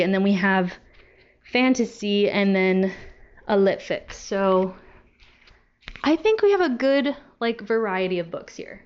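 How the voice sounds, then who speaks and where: A woman's voice: medium pitch, smooth, lo-fi sound. A young adult woman talking casually to viewers as a book vlogger. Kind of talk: casual chat to camera.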